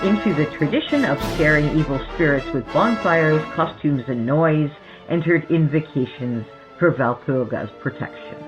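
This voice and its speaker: Lady podcast host reading a script, really lively intonation, bit of music behind. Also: low voice. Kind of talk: scripted narration on folklore. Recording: slightly dull audio with faint music. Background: faint music.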